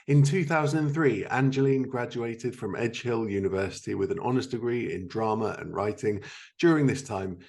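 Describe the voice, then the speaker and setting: A man's voice: fairly low pitch, creaky, a little mellow. A man hosting a podcast in an informal, self-deprecating way. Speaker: podcast host.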